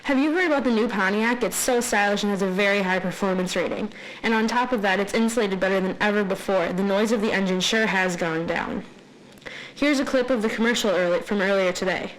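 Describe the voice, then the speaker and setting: A young woman with a medium-pitched voice reads a prepared radio segment aloud. She speaks as the presenter, addressing listeners. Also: fairly quick, rough recording. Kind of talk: scripted radio report, read aloud.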